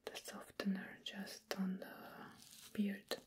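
A woman whispers softly, close to the microphone, in an ASMR grooming role play. Her breathy delivery is very unhurried and stays quite even in pitch. She sounds gentle and soothing throughout. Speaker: ASMR performer playing a beard groomer. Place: small quiet room indoors.

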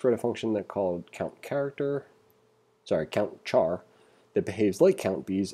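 A man with a low, very mellow voice leads an informal programming lesson. He thinks aloud as he goes, and his tone is husky and relaxed. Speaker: programming instructor. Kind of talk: casual coding tutorial, reading exercises aloud and thinking through code.

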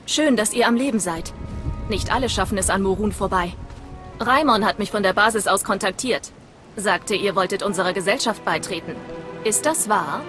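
A woman's voice: medium pitch, normal speed, music in the background, close to an everyday voice. Woman acting a proud mercenary commander. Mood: proud, firm. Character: proud, honour-bound female mercenary commander. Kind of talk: video game cutscene acting.

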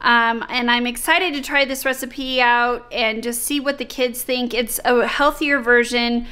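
A woman's voice: on the high side, fairly even intonation, unhurried, pushed and bright. A woman in her thirties hosting a home cooking vlog, talking step by step through a recipe to a handheld camera in her kitchen. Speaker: vlog host. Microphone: handheld camera close to face.

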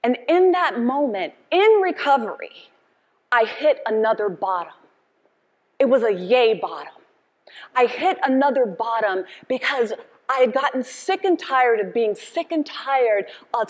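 Middle-aged woman, high voice, motivational stage speaker, passionate, emphatic, preacher-style repetition. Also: big-room sound. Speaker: keynote speaker. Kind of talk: motivational keynote address with call and response. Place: conference stage, indoors, before an audience.

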